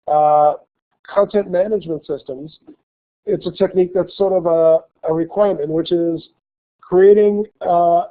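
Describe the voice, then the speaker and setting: A male college instructor giving a classroom lecture with a slight cold, talking haltingly with many fillers and restarts. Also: high-pitched. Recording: tinny phone-like lecture recording.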